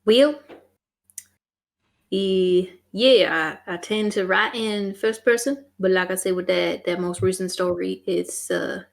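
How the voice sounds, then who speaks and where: A young woman speaks slowly in a gravelly, fry-tinged voice. She is bubbly and jokes freely in casual podcast chat. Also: medium pitch. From Canada. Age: young adult. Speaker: podcast newcomer.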